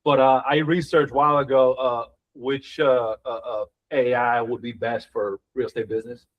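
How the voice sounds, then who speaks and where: A man's voice: mid-range voice, level tone, normal speed, dull audio. A man who is a real estate agent, talking casually in a team meeting, hesitant, with many restarts and fillers.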